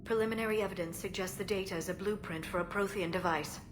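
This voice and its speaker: An actress playing a gentle, grave alien scientist in a video game, speaking in a measured, earnest, precise way. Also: female voice, mid-range voice, over music, close to an everyday voice. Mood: grave, concerned. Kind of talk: video game cinematic acting.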